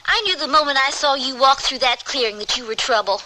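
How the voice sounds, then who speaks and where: Radio western role: defiant young rural woman, high, sharp and highly animated. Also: brisk. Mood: angry, scolding. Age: young adult. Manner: blunt rural speech, scolding. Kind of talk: old-time radio western acting. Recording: slightly noisy radio drama recording.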